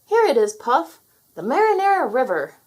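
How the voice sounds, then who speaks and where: A woman's voice: high voice, wildly swooping pitch, moderate pace, darkish tone, slightly rough, voice pushed up, full character voice. A woman voicing an earnest, unsure young boy in a children's storybook, plaintive and wide-eyed. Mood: amazed. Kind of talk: children's storybook character read aloud.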